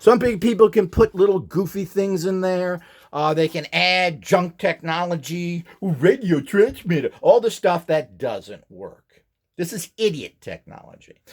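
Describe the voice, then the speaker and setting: Higher-pitched, animated man presenting. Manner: opinionated, blunt, emphatic. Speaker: instructor and video presenter. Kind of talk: informal instructional monologue.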